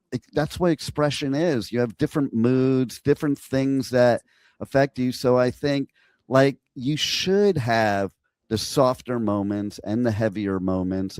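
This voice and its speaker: A man's voice: medium pitch, a little mellow, smooth, no room sound. Enthusiastic male radio host, dry sound. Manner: enthusiastic, talks in quick run-on bursts. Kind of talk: casual interview chat.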